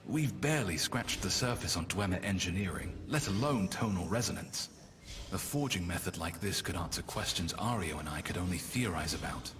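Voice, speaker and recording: A low-voiced, bookish fantasy scholar-engineer acted by a man, brooding and frustrated in his obsession with ancient machines. His articulate game-character lines ring in a long hall reverb over loud background noise. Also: animated, fairly quick. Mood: earnest, driven. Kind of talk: dramatic video game character voice acting.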